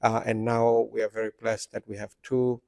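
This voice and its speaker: A man's voice: fairly low pitch, level tone, moderate pace, vocal fry, a little mellow, no room sound. A man from Myanmar, an agency director, speaking at a panel with many hesitant fillers. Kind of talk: speech at a panel event.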